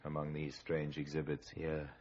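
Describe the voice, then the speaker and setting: A man acting as the grave, sardonic narrator of an old radio crime drama, telling it with measured, ominous irony. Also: extremely low pitch, monotone, darkish tone, slight background noise. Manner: measured, ironic, ominous storytelling. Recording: muffled vintage radio recording.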